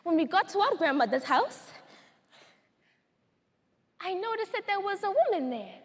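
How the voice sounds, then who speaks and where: An earnest, wounded woman survivor acted out by a woman tells of her childhood in a dramatic stage monologue. Her voice is high and rings through a large, echoing hall. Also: sing-song, normal speed. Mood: solemn, hurt.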